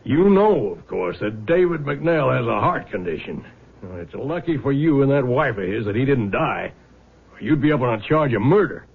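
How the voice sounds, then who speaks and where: A man's voice: medium pitch, very animated, normal speed, creaky, breathy edge, exaggerated voice. An actor playing a blunt, folksy small-town lawman in an old radio drama. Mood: stern, indignant. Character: gruff, folksy small-town lawman, older family man. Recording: tinny, narrow old radio broadcast.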